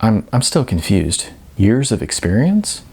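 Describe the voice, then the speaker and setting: A man's voice: fairly low pitch, very animated, a little slow, mellow, slightly rough, exaggerated voice. A man voicing a naive, puzzled young aspiring actor in an audiobook, hesitant and questioning.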